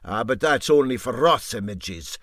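A man voicing a sly, teasing old boat skipper with a Scottish Highland accent and a drawn-out, mock-sympathetic manner in a storybook reading. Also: animated, fairly bright tone, no echo, clean studio sound.

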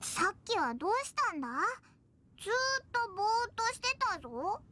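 A woman's voice: extremely high pitch, very animated, normal speed, sharp tone, full character voice. A woman voicing a tiny, childlike fairy companion in an anime fantasy game, cutesy and boisterous, with blunt, boyish phrasing. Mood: worried, baffled. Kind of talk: Japanese anime game voice acting.

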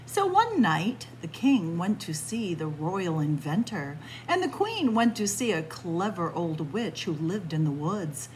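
Woman reading expressively to children, lively pitch. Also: measured pace, few pauses, rough recording. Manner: expressive, addressing young listeners as friends. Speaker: library storyteller. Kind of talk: reading a picture book aloud to children. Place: indoors, library room with bookshelves. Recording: clear background room noise.

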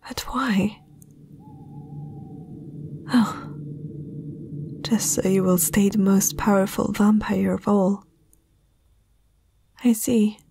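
A woman voicing a young princess, proud yet uneasy, in a hesitant breathy whisper for an ASMR fantasy roleplay. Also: mid-range voice, fairly even intonation, dark tone, slight background noise. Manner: hesitant, slow, intimate.

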